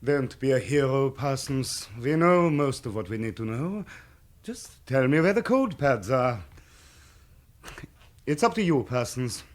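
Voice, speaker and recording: An actor playing a menacing, taunting German wartime officer who gives orders and interrogates, in a radio drama. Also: male voice, mid-range voice, expressive, measured pace, lightly acted. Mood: cold, taunting. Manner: taunting, commanding.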